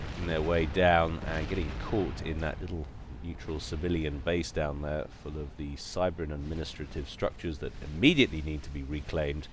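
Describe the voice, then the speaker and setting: A man's voice: very deep voice, background noise. A man casting a strategy game in chatty play-by-play, slightly nasal from a cold. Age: adult. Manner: lively, chatty play-by-play with wry asides. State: has a cold. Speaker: game caster. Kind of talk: live strategy game commentary.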